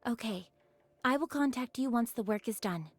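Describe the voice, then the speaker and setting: A woman's voice: on the high side, moderate pace, no echo, lightly acted. A woman playing a composed, capable young squad leader in an anime game, speaking decisively and politely.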